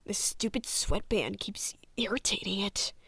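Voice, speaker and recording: A woman's voice: mid-range voice, expressive, measured pace, vocal fry, sharp tone, slightly rough, dry recording, whispered, heavily acted. A woman playing a brash, sarcastic athletic teenage witch girl in a cartoon comic dub, with a blunt, exasperated manner. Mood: snarky, irritated.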